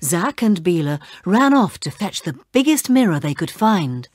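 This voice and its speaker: A woman narrating a children's read-along story in an expressive way. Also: fairly low pitch, moderate pace, no room sound, clean studio sound.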